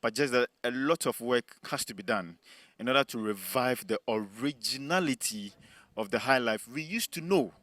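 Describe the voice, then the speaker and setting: A man with a Ghanaian accent giving his opinion on a panel, unscripted, in a measured, explanatory way. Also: medium pitch, animated, a bit bright, no room sound. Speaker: panelist on a TV discussion show.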